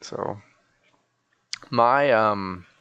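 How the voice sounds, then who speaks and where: A man's voice: fairly low pitch, very slow, pushed and bright, smooth, no echo, lo-fi sound. A sleepy man chatting casually and in a rambling, folksy way as he live-streams a game.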